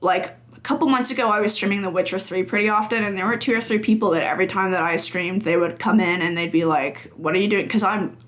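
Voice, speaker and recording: Young woman, early twenties, casual podcast guest, rambling, laughs a lot, mid pitch. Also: quick pace, few pauses, fairly bright tone. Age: early 20s. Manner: chatty, rambling, laughs often, lots of fillers. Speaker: podcast guest, games journalist. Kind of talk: casual chat.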